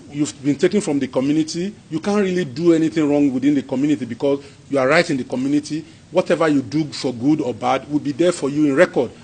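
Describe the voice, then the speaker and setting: A man with a Yoruba-accented Nigerian English voice, pitched somewhat above the usual male range. He talks to a hall audience as a traditional king, in a loose conversational way, chuckling often and circling back over his own phrases. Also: mellow, slightly rough. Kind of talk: informal speech and Q&A answers.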